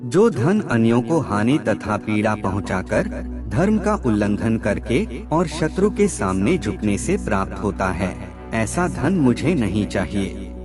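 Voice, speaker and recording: A man narrates in a fairly low voice with very lively, swinging intonation. He recites scripted wisdom in a measured, formal way, with music clearly playing behind him. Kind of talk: scripted narration of moral aphorisms. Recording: music bed under the voice. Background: background music.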